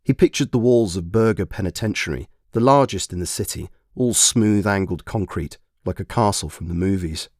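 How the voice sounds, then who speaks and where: A man's voice: deep voice, normal speed, few pauses, no echo, clean studio sound. A man reading scripted fiction aloud as its narrator. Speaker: author and narrator.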